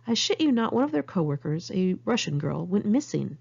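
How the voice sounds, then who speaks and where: A woman reads her personal memoir aloud in a candid, conversational style. Her voice sits a little low, with plenty of gravelly fry at the edges. The homemade recording sounds slightly dull and lo-fi. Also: big pitch swings, a little fast, a little mellow. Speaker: author reading own memoir. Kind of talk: audiobook narration of a personal memoir, read in one take. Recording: muffled lo-fi amateur recording.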